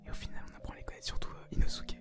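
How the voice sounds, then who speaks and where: Whispering young ASMR reviewer over music. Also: rapid-fire. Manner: informal youth slang. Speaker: co-host of an anime review. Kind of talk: whispered ASMR anime review, casual chat.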